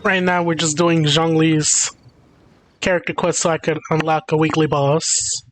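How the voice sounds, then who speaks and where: A man's voice: a little high, little pitch movement, measured pace. A man livestreaming a video game, chatting casually and commenting on it. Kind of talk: casual gaming stream chat. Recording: faint game music behind.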